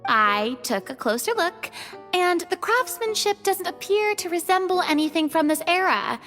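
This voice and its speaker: Game role: polite young woman companion. Extremely high, earnest, reverberant. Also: animated, moderate pace, a bit bright. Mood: thoughtful. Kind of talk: scripted game voice acting. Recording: echoey reverb, music behind.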